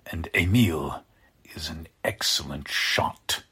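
An actor playing a deranged, sinister scientist with a husky voice, over-polite and menacing, in the style of 1940s radio drama. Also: male voice, rumbling low, takes it slowly, sharp tone, whispered, heavily acted. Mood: calm menace. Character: deranged male scientist, sinister, courtly, gloating captor.

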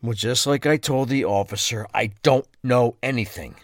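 Mid-pitched husband played by an actor, very dry. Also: male voice, moderate pace, dry recording, close to an everyday voice. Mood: distressed, defensive. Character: distressed, defensive husband of a kidnapped wife. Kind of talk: audio drama acting.

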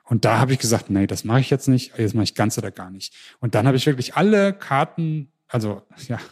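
German male podcast guest, average pitch, animated storyteller who often restarts his sentences. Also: fairly quick, husky. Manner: lively storytelling with frequent restarts. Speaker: interview guest. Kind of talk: casual podcast interview.

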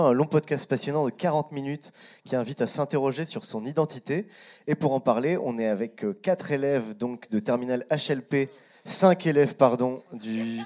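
A man hosting a radio show who introduces student guests, friendly, with frequent pauses and hesitations. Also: mid-range voice, dark tone. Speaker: radio host.

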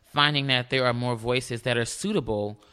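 Man, high speaking voice, smooth and clear, earnest, casual interview guest. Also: normal speed. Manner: earnest, warm, with frequent restarts. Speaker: countertenor singer, guest.

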